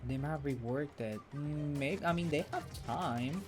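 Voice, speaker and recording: A man's voice: on the high side, moderate pace. A man chatting casually as a game streamer, reacting aloud and talking with his viewers. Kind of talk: casual chat while playing. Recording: clear game music behind voice.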